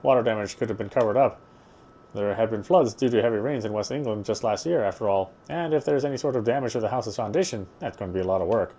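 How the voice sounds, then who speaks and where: A man's voice: fairly low pitch, fast talker, dark tone. A man narrating a visual novel as he plays it, reading the prose aloud with casual asides.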